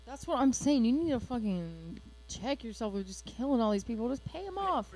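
A woman co-hosts a livestream of a video game in a casual, chatty way. Her voice is average in pitch with very animated intonation. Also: a little fast, low-quality recording. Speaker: co-host of a gaming livestream. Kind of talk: casual gameplay chat.

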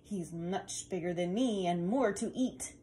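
A woman's voice: lowish voice, unhurried, mellow, pitched below the performer's own voice, lightly acted. Woman voicing a medium-sized billy goat. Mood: pleading.

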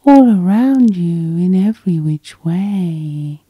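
Female hypnosis guide. Hushed, breathy and unhurried, soothing delivery, very dry intimate sound. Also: lowish voice, animated, no echo, dull audio. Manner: soft, soothing, slow breathy half-whisper. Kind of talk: whispered sleep hypnosis, ASMR guided meditation.

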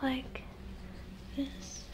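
Slow, whispering young woman doing ASMR. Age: young adult. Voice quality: whispery, breathy. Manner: gentle, soothing, halting. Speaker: ASMR role-play performer. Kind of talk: ASMR role play, guiding the listener.

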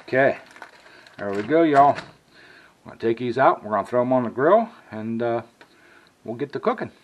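A man's voice: mid-range voice, expressive, normal speed, highs cut off, slight background noise. A man hosting a casual cooking video, talking folksy to viewers while he preps and grills. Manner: folksy, talks to viewers as "y'all". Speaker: cooking channel host. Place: home kitchen, then outdoor grill. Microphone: handheld camera mic.

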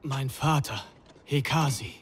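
An actor playing an eager, honor-bound young troll warrior in a game cinematic, with an earnest, deliberate delivery. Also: male voice, medium pitch, slight background noise, natural voice. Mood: respectful, curious. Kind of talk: dramatic game cinematic acting.

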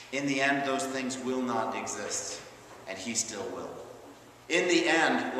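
Male pastor, 30s, sermon delivery in emphatic, deliberate phrases; very reverberant church, faint noise. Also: medium pitch, fairly bright tone. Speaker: Lutheran pastor. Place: large church, preaching from a pulpit.

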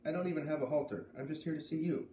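A man voicing a gentle, patient child talking softly and reassuringly in a storybook read-aloud. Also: on the high side, racing, pitched above the performer's own voice, close to an everyday voice. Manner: soft, reassuring. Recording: roomy, distant camera mic with faint noise.

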